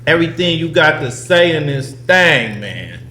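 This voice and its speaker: High, bright, lively male podcast co-host. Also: a little slow, slight background noise. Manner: hyped, playful hype-man delivery. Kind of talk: casual podcast chat.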